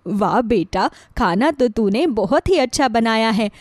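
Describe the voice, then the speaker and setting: A woman's voice: fairly high pitch, lively intonation, measured pace, dry recording, studio quality. A woman playing an affectionate older mother-in-law who frets about her household in a moral story. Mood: warm, approving. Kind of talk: acted dialogue in a moral story.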